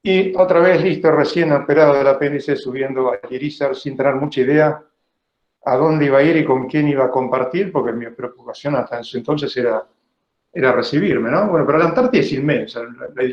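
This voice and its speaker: An Argentine man in his fifties gives an informal lecture full of personal recollection. His voice sits at a middle pitch and he talks conversationally, often checking in with his listeners. The sound is that of an online meeting, roomy and somewhat muffled. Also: a little fast. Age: 50s. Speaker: biologist giving a talk. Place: indoor room, online video call. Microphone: video call microphone.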